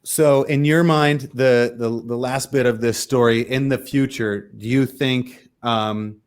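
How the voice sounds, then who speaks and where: Podcast co-host, man, mid-pitched voice, rambling questions and friendly recaps. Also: fairly even intonation, unhurried, clear, resonant tone. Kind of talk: casual podcast interview.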